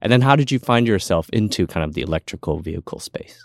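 A man's voice: deep voice, animated, fast, creaky, dry recording, clean studio sound. A man hosting a podcast, asking questions in a casual interview style.

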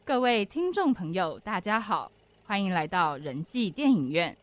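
A woman's voice: mid-range voice, big pitch swings, moderate pace, a little hiss or hum. A woman reading a scripted, courteous cinema announcement in a formal manner.

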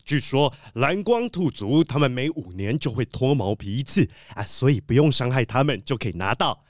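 A man playing a polite, excitable treasure collector in a children's audio drama, with a dramatic storytelling manner. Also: medium pitch, normal speed, no room sound, studio quality, natural voice. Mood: polite, flustered.

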